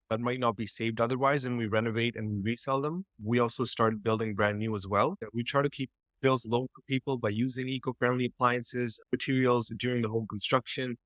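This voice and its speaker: Measured man with "uh" fillers. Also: medium pitch, fairly even intonation, a bit bright, dry recording. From Canada. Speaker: guest, property developer. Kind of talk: casual video chat.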